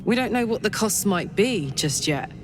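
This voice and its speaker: An actress playing a gruff but reasonable companion in a fantasy video game, talking in a casual, blunt way. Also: female voice, mid-range voice, expressive, normal speed, background noise, close to an everyday voice. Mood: wary, teasing.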